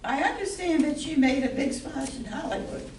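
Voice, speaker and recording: A woman playing a barmaid in an amateur old-time radio play, delivering her lines in a deliberate, stagey way. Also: sits high, lively intonation. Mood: chatty, matter-of-fact. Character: excitable barmaid Alice and fellow bartender Heloise. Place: live stage show in an echoey room. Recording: echoey distant hall recording with noise.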